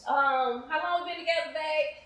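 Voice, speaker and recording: A woman being interviewed, chatting casually and telling stories in an animated, conversational way. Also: high-pitched, moderate pace. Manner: animated, acts out remembered dialogue. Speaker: interview guest, playwright. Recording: very echoey, reverberant room.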